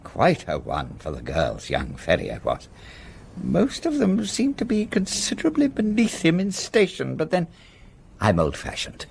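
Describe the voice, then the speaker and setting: An actor playing an older, old-fashioned English solicitor in a radio play, speaking in a formal, guarded way with an English accent. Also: male voice, a little high, huge pitch swings, a little slow, vocal fry, slight background noise, full character voice. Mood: reminiscing.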